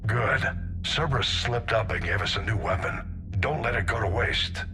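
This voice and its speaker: An actor playing a stern, weary older navy admiral, grave, deliberate and commanding. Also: male voice, fairly low pitch, noisy background, close to an everyday voice. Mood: grave, urgent.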